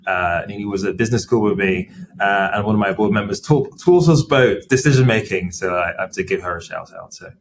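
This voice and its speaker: A middle-aged man with a somewhat low voice talks through his answers in a measured, explanatory way. He leans on frequent fillers as he thinks aloud. A faint hiss of noise sits under the recording. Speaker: company CFO as podcast guest. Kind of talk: interview conversation.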